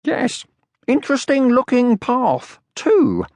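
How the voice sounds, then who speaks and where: A man voicing a small, timid yet boastful young male creature in a children's storybook, whiny, excitable and self-important. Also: very high voice, slow, no echo, voice pushed up, heavily acted. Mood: breathless, peevish. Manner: excitable, screechy, self-important muttering and squealing.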